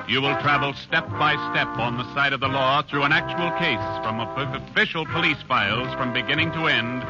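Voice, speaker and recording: A man's voice: mid-range voice, natural voice. A man announcing a 1940s radio police drama in an emphatic, declamatory manner. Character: booming male radio show announcer. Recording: dull lo-fi 1940s radio broadcast. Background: loud dramatic music.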